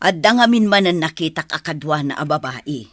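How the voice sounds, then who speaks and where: A woman's voice: deep voice, lively intonation, fairly quick, fairly bright tone, no room sound, clean studio sound. A woman acting a probing, concerned mother in an Ilocano radio drama.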